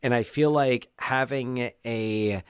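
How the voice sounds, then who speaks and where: Male podcast co-host, mid-range voice, animated chatty delivery, dry close-mic sound. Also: medium pitch, slow, smooth, dry recording. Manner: animated, frequent restarts. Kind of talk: casual chat.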